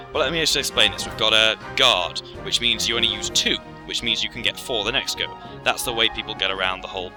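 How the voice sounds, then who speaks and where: Casting: male game commentator, low register, rambling casual tutorial style, game music underneath. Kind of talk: casual gameplay explanation. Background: video game music.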